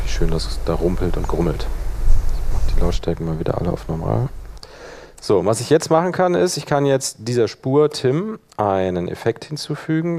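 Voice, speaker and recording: Low, gravelly man giving a tutorial. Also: mellow, slight background noise. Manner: informal, thinking aloud while demonstrating. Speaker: workshop presenter. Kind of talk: live hands-on software tutorial.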